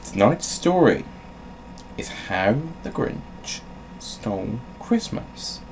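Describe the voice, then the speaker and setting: A man's voice: on the low side, big pitch swings, slow, creaky, slight background noise, low-quality recording. A man hosting with a dry, self-mocking manner, moving between theatrical storybook reading and casual, sweary rambling. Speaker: host of a gaming channel.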